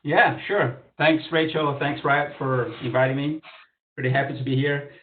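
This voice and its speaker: A man's voice: fairly high pitch, steady tone, normal speed. A man from Brazil with a Brazilian Portuguese accent, introducing his startup on a virtual panel in a friendly, informal way. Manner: friendly, informal, with fillers and restarts. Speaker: startup founder. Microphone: video call. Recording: phone-like, roomy, far from mic.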